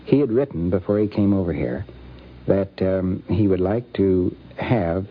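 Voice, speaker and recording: Older american guy telling stories, halting, low husky voice, dull old radio tape. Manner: halting, deliberate delivery. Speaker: interviewee recalling a friend. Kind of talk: unscripted anecdotal reminiscence. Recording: dull vintage radio recording.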